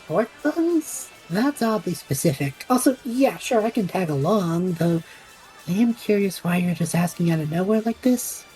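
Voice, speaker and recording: Casting: woman as wisecracking extrovert male adventurer, lowish mellow voice, lively delivery, audio drama. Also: moderate pace, soft background music, close to an everyday voice, poor audio. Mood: casual, amused. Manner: flippant, wry, theatrical. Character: impulsive, chatty extrovert young male traveller, showman.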